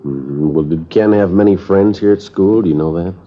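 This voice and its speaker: Man playing a terse, very deep police sergeant. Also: normal speed, a little hiss or hum, lightly acted. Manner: clipped, flat, matter-of-fact. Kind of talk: 1950s radio drama narration. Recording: dull old radio broadcast with music.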